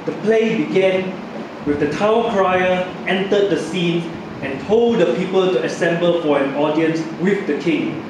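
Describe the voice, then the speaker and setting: A man reads a scripted religious story aloud as its narrator. His voice sits a little high, and his delivery is solemn and formal. The recording is very echoey, with the mic set back from him in a room with air-conditioning hum. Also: a little slow, distant mic.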